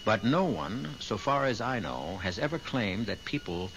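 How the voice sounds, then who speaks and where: A man acting as a wry storyteller host, narrating a 1970s radio drama in a measured way. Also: fairly low pitch, big pitch swings, noisy background. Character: wry male storyteller host of a mystery play. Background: prominent music.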